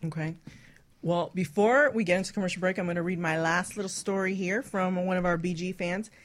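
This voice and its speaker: A woman's voice: fairly low pitch, fairly even intonation, brisk. A woman hosting a radio talk show, chatting casually and candidly and reading out listener stories.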